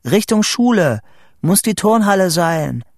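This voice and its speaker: A man voicing an excited boy who shouts a dramatic eyewitness report in short, exclaimed bursts, as audiobook dialogue. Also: high voice, measured pace, no room sound, studio quality, pitched above the performer's own voice, heavily acted.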